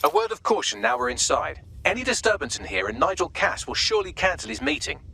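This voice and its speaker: A man's voice: sits high, sing-song, fast, gravelly, pushed and bright, breathy edge, exaggerated voice. An actor playing a chipper, sarcastic AI assistant in a video game, wry and teasing as he briefs operatives. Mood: wry warning. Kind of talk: scripted video game dialogue.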